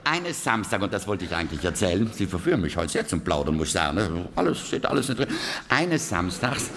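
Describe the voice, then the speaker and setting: A man's voice: low voice, very animated, brisk, gravelly, a crowd in the background. An older man from South Tyrol telling anecdotes in a chatty, digressive way, often tagging his sentences. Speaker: interviewee, performer. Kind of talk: casual anecdotal storytelling.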